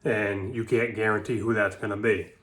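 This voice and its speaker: A man's voice: on the low side, level tone, normal speed, a bit bright. A man hosting a ham radio vlog, explaining contacts in a folksy, rambling way full of "you know" and "uh". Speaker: ham radio hobbyist and channel host.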